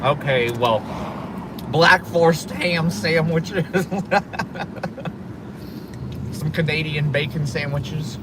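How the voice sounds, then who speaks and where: Slow-talking joking man in his thirties. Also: on the high side, steady tone. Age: 30s. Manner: joking, laughs often, drawls. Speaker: co-host reading live chat. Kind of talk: casual live-stream chat. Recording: outdoor live stream, noisy, mic at a distance.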